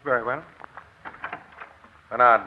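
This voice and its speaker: An actor playing a smooth, evasive gambling club boss with a formal, measured manner in a 1940s radio crime drama. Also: male voice, medium pitch, fairly even intonation, natural voice. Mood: smooth, guarded. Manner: formal, measured, self-possessed. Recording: muffled, noisy old radio broadcast.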